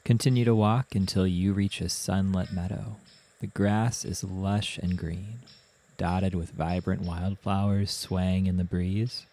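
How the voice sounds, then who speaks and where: Slow, deep-voiced man guiding meditation. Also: steady tone. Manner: soothing, gentle, unhurried instruction with long pauses. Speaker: meditation guide. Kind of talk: guided meditation narration.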